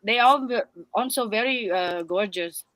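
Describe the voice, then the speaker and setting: A Vietnamese-born woman speaking English with a Vietnamese accent, telling animated stories as a guest in broken English. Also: medium pitch, a little slow, no room sound, poor audio. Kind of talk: casual storytelling chat.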